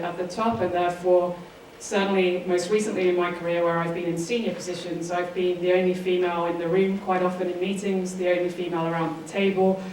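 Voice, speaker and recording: A woman's voice: lowish voice, a little mellow. A woman in her forties with a southern English accent, giving earnest, articulate answers as an interview guest. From England. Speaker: interview guest, sports author. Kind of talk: interview answers, personal storytelling. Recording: roomy echo, distant mic, faint noise.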